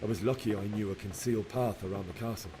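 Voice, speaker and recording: An actor playing a young blacksmith's son in a video game, earnestly and sorrowfully recounting a massacre. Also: male voice, on the low side, quick pace, background noise, lightly acted. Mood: shaken. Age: young adult. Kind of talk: dramatic game cutscene acting.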